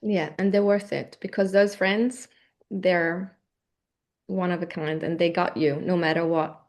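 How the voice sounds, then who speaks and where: A woman's voice: fairly low pitch, steady tone, measured pace, darkish tone. A woman from Switzerland talking casually as the host of a conversation.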